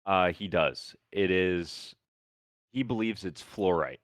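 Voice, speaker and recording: A man's voice: sits low, takes it slowly, dry recording, studio quality. A man with a Midwestern accent, hosting a casual podcast chat, talking easily with fillers and repeated words. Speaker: podcast host.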